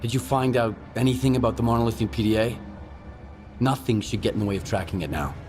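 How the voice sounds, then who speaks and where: A man's voice: mid-range voice, fast talker, a little mellow, soft background music, lightly acted. A man playing a terse, tough wasteland mercenary in a video game, matter-of-fact in manner. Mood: cool, businesslike. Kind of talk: video game cutscene acting.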